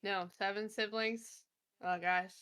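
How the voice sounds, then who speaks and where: A woman's voice: mid-range voice, fairly even intonation, a bit bright, smooth. A woman playing a tabletop role-playing game who jokes playfully while she talks in character. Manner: playful, joking, unhurried. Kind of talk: in-character casual chat.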